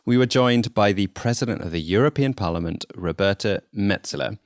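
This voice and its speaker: A man's voice: lowish voice, a little fast, no room sound, studio quality. A man co-hosting a podcast in a casual, self-deprecating, conversational way. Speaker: podcast co-host.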